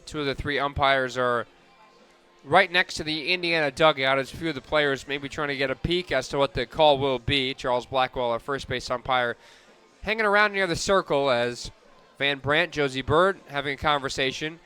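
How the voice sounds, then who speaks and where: A man calling live softball play-by-play on the radio as the announcer. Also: mid-range voice, normal speed, clear, resonant tone, soft background music.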